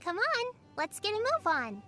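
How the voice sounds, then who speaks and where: A woman's voice: very high voice, wildly swooping pitch, normal speed, bright, forceful tone, soft background music, exaggerated voice. A woman voicing a sweet, caring little girl in an anime video game, polite and earnest with childlike wonder. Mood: polite, helpful.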